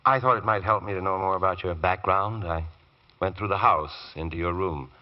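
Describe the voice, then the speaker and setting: An actor playing an earnest, harried lawyer and husband who angers easily, in 1940s radio drama style. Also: male voice, low voice, moderate pace, lightly acted. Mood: intense, pleading. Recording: dull, muffled old radio recording.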